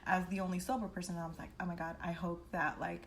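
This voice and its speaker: A woman casually telling a personal story in an animated, slangy, heavily profane way, acting out the dialogue as she goes. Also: lowish voice, fast, slight background noise. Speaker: storytime vlogger.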